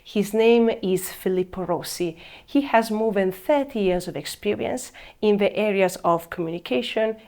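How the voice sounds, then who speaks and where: A woman in her thirties from Italy with an Italian accent, giving a measured presentation that slows down to stress key words. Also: mid-range voice, darkish tone. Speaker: program presenter. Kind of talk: scripted presentation.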